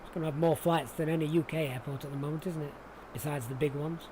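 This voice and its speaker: A man's voice: a little high, fairly even intonation, quick pace. Yorkshire man chatting on a live stream. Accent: Yorkshire English. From Sheffield, England. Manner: chatty, informal, thinking aloud. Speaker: flight simulator livestreamer. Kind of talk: casual live-stream commentary, chatting with viewers. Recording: clear background noise.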